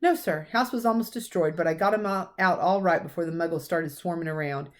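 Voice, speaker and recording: Woman playing storybook giant man, rough-spoken, loyal, soft-hearted, raised pitch. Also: a little fast, close to an everyday voice. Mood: respectful, eager.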